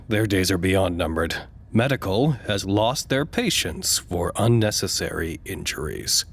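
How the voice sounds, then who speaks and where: An actor playing a wry, lonely radio operator in an audio drama, speaking in a measured, articulate, dryly sardonic way. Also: male voice, lowish voice. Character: wry, lonely, reflective male radio operator reporting to command.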